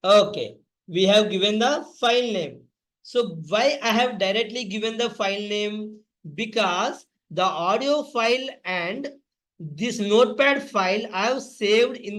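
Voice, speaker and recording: A man's voice: high-pitched, a bit bright, poor audio. A man instructing in a step-by-step coding tutorial, often repeating and spelling out terms.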